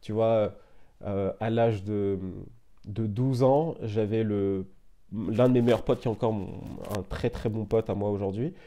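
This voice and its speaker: Young French man, interview guest, easygoing and rambling, mellow low-brightness tone. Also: unhurried. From France. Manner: relaxed, meandering, frequent "euh" and "tu vois". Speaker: interview guest, media founder. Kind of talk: casual conversational interview.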